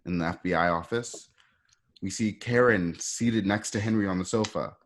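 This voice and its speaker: A man's voice: deep voice, moderate pace. A man reading scene directions as the narrator of a scripted drama.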